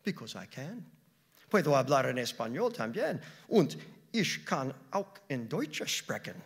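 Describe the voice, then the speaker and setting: A man's voice: mid-range voice, sing-song, measured pace, husky, exaggerated voice. A man voicing an eager, precocious teenage girl who pleads earnestly in a raised voice. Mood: earnest pleading.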